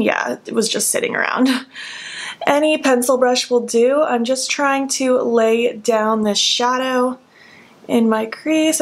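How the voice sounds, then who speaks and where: A young woman in a casual makeup tutorial, talking softly with a sleepy early-morning voice and narrating each step as she works. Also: fairly high pitch. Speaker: beauty vlogger. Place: at home.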